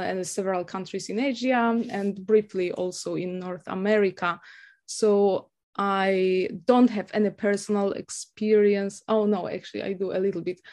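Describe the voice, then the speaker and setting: Woman, young adult, from Poland, native Polish speaker, hesitant with many fillers, mid pitch. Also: a little mellow. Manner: hesitant, many fillers and restarts, laughs often. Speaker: guest, university lecturer. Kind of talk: casual interview conversation.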